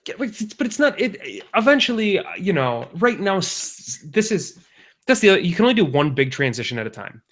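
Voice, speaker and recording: A man's voice: high voice, big pitch swings, brisk, fairly bright tone. A young adult man co-hosting a podcast, telling rambling stories in casual chat and often correcting himself as he goes. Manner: rambling, self-correcting parent anecdotes. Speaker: podcast co-host.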